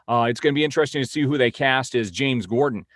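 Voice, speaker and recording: A man's voice: medium pitch, fast talker, a bit bright, no echo. A man hosting an informal news update and opinion vlog, speaking in a blunt, opinionated way. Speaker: host of a comic-book film news vlog.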